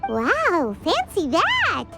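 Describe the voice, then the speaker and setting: Casting: small childlike fairy sidekick for a game, squeaky, lively swooping intonation, inquisitive, loud music underneath. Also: female voice, extremely slow, heavily acted. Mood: curious. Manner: refers to self in third person, exclaiming, inquisitive. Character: small childlike floating fairy companion, curious and chirpy. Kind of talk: video game character dialogue.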